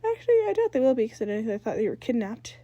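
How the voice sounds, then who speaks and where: A woman's voice: on the high side, sing-song, racing, mellow, dry recording, muffled recording. A woman voicing a worried, exasperated teenage older sister in a fan fiction reading, emphatic and scolding. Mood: dry, annoyed.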